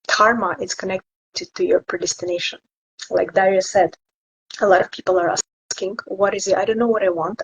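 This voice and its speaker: A woman co-hosting a spirituality livestream, chatting casually with viewers over a muffled, low-quality online microphone. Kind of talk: casual chat with live viewers. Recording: muffled lo-fi online mic, background noise.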